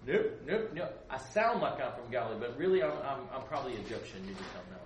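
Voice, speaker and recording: A man preaching a lively storytelling sermon, acting out voices and laughing at his own asides. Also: mid-range voice, quick pace. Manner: acts out voices, laughs often, chatty asides. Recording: roomy echo, distant mic, background noise.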